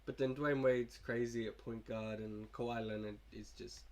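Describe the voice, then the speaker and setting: A man's voice: medium pitch, fairly even intonation, normal speed, a little mellow. Sick young man chatting about his game. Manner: laid-back, rambling, fillers and restarts. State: sick, coughing. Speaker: gaming YouTuber. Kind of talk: casual gameplay commentary.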